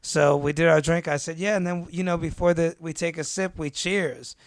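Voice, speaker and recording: Man, podcast co-host, casual storytelling, says dude and bro, gravelly, dry studio mic. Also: a little high, steady tone, normal speed, dry recording. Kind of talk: casual chat. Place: New York.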